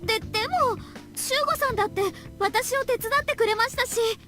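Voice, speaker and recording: Earnest, high-voiced young anime woman. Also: brisk, sharp tone. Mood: earnest, pleading. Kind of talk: Japanese visual novel voice acting. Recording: game audio with music behind.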